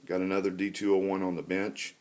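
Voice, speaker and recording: A man narrating an informal show-and-tell tour as a hobbyist collector, in a halting, matter-of-fact way. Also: low voice, level tone, darkish tone.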